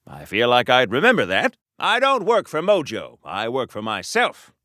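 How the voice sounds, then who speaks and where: A man's voice: mid-range voice, wildly swooping pitch, normal speed, pushed and bright, no room sound, clean studio sound. A man voicing a pompous, earnest golden-age comic-book patriotic hero for a game, declaiming theatrically in an old-time heroic serial style. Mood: proud, earnest.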